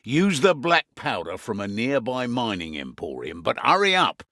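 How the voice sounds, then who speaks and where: Wry mercenary quartermaster, video game briefing, gruff and commanding, widely swinging intonation, earthy dark humour, dry close sound. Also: male voice, mid-range voice, big pitch swings, moderate pace, no room sound, full character voice. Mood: grim, brisk.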